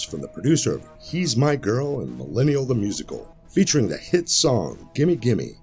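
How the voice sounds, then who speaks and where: A man's voice: on the low side, a little mellow. A man narrating a parody movie trailer in a mock-dramatic announcer style. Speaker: sketch narrator.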